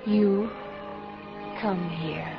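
A woman's voice: mid-range voice, fairly even intonation, extremely slow, vocal fry, over music. A woman acting an affectionate, playful wife in a 1950s radio drama. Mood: affectionate, teasing. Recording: dull, faintly noisy old radio recording.

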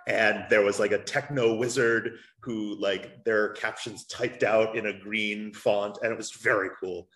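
A man's voice: lowish voice, steady tone, a little slow, fairly bright tone. A man on a discussion panel, talking in an earnest, considered way. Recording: echoey room, faint noise.

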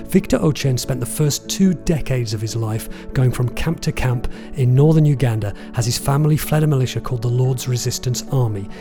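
A man hosting a podcast, narrating and interviewing in a clear, composed presenter manner. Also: mid-range voice, a little fast, hardly any pauses, over music. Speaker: podcast host.

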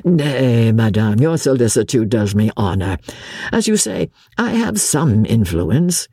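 Figure: cold aristocratic husband, as a reader plays him. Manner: drawling, formal, aloof. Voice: low, dark and husky. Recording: dry studio sound. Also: sing-song, measured pace, dry recording, heavily acted. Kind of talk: audiobook character voice.

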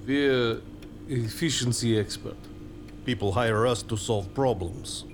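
A man's voice: mid-range voice, takes it slowly, noisy background. A man playing a polite, guarded villain passing as a friendly visitor in an audio drama. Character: polite, guarded older male terrorist posing as visitor.

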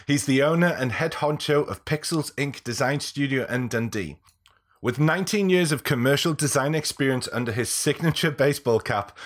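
A man hosting a business podcast, chatty and rambling, his voice a bit croaky from a cold. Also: mid-range voice, a bit bright. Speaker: podcast host. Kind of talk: casual podcast talk.

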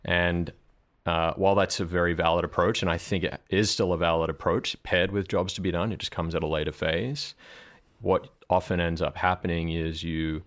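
A man with a very low voice talks through ideas in a relaxed podcast interview. He is thoughtful and explanatory, and often pauses with an um or uh as he works out his point. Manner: thoughtful, explanatory, frequent ums and uhs. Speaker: guest, marketing director.

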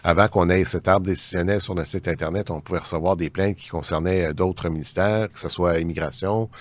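A man's voice: low-pitched, steady tone, fairly quick, dry recording. A man with a Canadian French accent explaining things off the cuff as an interview guest, often circling back mid-sentence. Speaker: tax ombudsman interviewed as guest. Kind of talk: unscripted explanatory interview talk.

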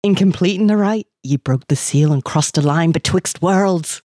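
A woman's voice: lowish voice, normal speed, warm tone, no room sound, clean studio sound, pitched above the performer's own voice, natural voice. A woman voicing a fervent, forlorn Scottish man from a short story, with archaic, ominous phrasing.

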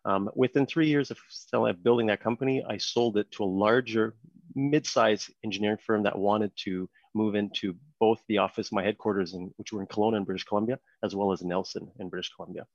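A French Canadian man in his thirties, French being his first language, talks through his career as a podcast guest in a fairly low voice. He rambles easily, with ums and restarts. The audio sounds dull and dry, like a remote call. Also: fairly quick, dry recording. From Montreal, Canada. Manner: relaxed storytelling with frequent ums and restarts. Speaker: podcast guest, company co-founder. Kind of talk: casual interview talk.

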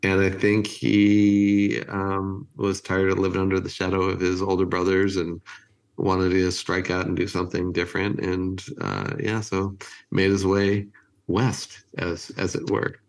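Low, creaky-voiced American man in his fifties. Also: monotone, unhurried, low-quality recording. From California, United States. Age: 50s. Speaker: interview guest, creative agency chief. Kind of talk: casual interview chat.

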